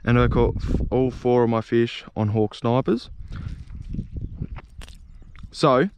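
A young man with an Australian accent narrating a casual fishing vlog in a laid-back, halting way. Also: medium pitch, a little mellow, slight background noise. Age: young adult. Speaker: fishing vlogger. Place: outdoors on a grassy riverbank. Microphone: body-worn or handheld action camera.

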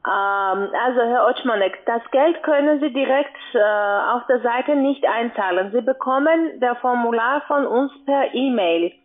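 Female phone sales agent, fairly high pitch, insistent and formal, thin telephone sound. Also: a little slow, few pauses. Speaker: call center agent. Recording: tinny band-limited phone line.

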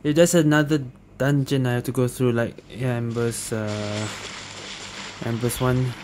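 A woman doing casual gameplay commentary as a streamer, muttering halting reactions. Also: rumbling low, steady tone. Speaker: gaming streamer. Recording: noisy with game ambience behind. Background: game ambience.

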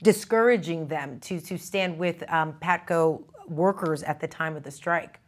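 Low-voiced woman, news interviewer. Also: unhurried. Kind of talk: formal news interview questioning. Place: TV news studio. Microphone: lapel mic.

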